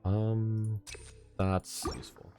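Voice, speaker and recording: A man's voice: lowish voice, little pitch movement, slow pace, background noise. A man giving casual gameplay commentary as a livestreamer, muttering to himself. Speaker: game livestreamer. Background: game music.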